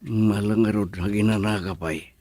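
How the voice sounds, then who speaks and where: A man's voice: fairly low pitch, fairly even intonation, normal speed, darkish tone, no room sound, close to an everyday voice. An actor playing a strict, worried father in a radio drama, speaking sternly.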